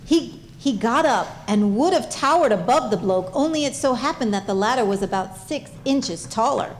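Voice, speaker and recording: A woman's voice: on the high side, expressive, moderate pace, slight background noise. A woman narrating a comic stage play as scripted storytelling.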